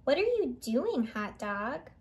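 Woman doing kids storytime, really slow and expressive, high lilting voice. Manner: expressive, deliberate. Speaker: library storytime host. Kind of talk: picture book read-aloud for children.

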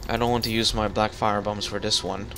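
A man's voice: on the low side, little pitch movement, fairly quick, noisy background. A man chatting casually through co-op gameplay as he leads, jokey and often thinking aloud. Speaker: gamer leading a co-op session.

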